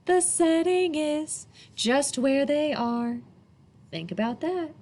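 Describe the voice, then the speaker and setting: Slow, very animated woman storyteller. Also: high voice. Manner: teacherly, asking young listeners questions and pausing. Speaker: storyteller reading to children. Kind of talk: expressive picture-book read-aloud.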